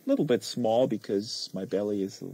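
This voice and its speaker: Male stream host, soft mellow tone, dry close sound, rambling and conversational delivery. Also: lowish voice, expressive, brisk, slightly rough, dry recording. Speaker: host of a live book-reading stream. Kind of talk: casual livestream chat.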